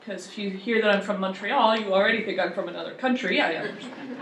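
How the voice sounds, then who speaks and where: A woman's voice: mid-range voice, fairly quick, a bit bright, a little hiss or hum. A tax law professor, a woman from Ontario, talking on a panel in a wry, chatty, self-deprecating way. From Ontario, Canada. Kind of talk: conversational panel talk. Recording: echoey room.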